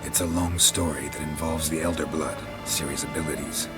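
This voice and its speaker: A man playing a gruff, stoic monster hunter in a video game, with terse, deadpan delivery and a gravelly voice. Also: extremely low pitch, a little fast. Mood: calm, matter-of-fact. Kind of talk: video game cutscene acting. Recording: loud music under the voice.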